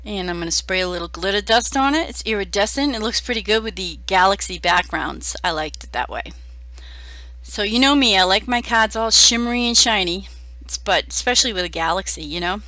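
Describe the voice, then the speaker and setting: Hoarse middle-aged woman crafter, chatty and self-deprecating, bright tone. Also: medium pitch, expressive, fairly quick, no echo. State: hoarse from a cold. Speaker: card-making crafter. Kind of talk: casual crafting voice-over.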